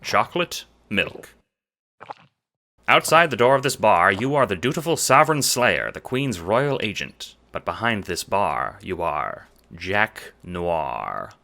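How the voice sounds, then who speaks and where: A man's voice: on the low side, sing-song, slow, vocal fry, sharp tone. A man narrating a scripted audio drama in the second person, with dramatic storytelling delivery.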